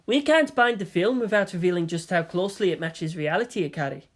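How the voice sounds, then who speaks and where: A wry old deputy commander, read by a woman narrator, measured and quiet. He has a dry, amused way of speaking, as a seasoned advisor would. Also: fairly low pitch, lively intonation. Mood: calm reasoning.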